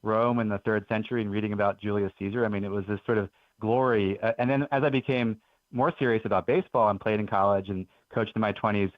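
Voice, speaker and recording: A man's voice: on the low side, fairly even intonation, quick pace, no room sound. A man in his forties, from Belgium, telling stories as a guest author in an earnest, enthusiastic way on a radio interview. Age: 40s. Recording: dull lo-fi radio audio.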